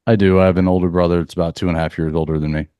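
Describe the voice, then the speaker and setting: Man from central florida, very low voice, even delivery, smooth, earnest podcast guest. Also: rapid-fire, no echo. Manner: calm, earnest, spiritual phrasing. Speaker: leadership coach for athletes. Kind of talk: reflective podcast interview.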